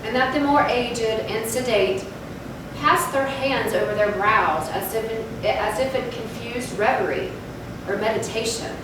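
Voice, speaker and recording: A woman performs a gothic tale as a dramatic reading. Her voice is somewhat high. The sound is remote and washed in heavy echo. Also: unhurried. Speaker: readers theatre performer. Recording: echoey hall from far off, noisy.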